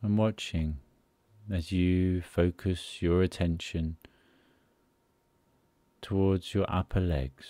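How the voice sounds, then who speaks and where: A man leading a guided sleep meditation in a soothing, unhurried manner, pausing between short phrases. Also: deep voice, fairly even intonation, warm tone, no echo.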